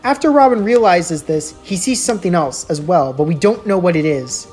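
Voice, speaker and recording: A woman's voice: lowish voice, expressive, moderate pace, a little mellow. A woman reading a scripted story as a storyteller, in dramatic narration. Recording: music laid under the voice. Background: music.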